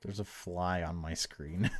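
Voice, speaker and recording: A man narrating a horror visual novel in a measured, unhurried way, with casual asides as a gaming video host. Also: deep voice.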